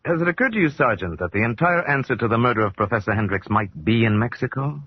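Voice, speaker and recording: An actor playing a composed, thoughtful mystic detective in a 1940s radio drama, measured, courteous and authoritative. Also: male voice, mid-range voice, animated, breathy edge, no room sound. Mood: grave, probing. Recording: muffled, old 1940s radio broadcast.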